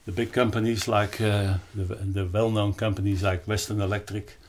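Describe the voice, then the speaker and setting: An older man with a Dutch accent explains a product off the cuff, haltingly searching for words. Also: fairly low pitch, level tone. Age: 60s or older. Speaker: loudspeaker designer presenting his product. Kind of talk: unscripted explanatory product talk. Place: carpeted, wood-panelled listening room indoors.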